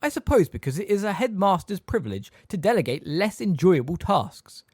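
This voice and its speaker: A narrator voicing a middle-aged male teacher in a storybook, smooth, sarcastic and waspish, with delicate stress on words. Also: medium pitch, sing-song, normal speed, darkish tone, no room sound, clean studio sound, pitched above the performer's own voice. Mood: silky, sneering.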